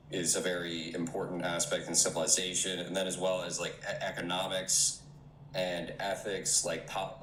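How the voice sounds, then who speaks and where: A man with a very deep voice calls in as a guest, talking haltingly and reflectively and searching for words as he goes. His voice comes through from an echoey room, with a faint hum behind it. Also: a bit bright. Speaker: guest caller. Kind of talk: casual conversation.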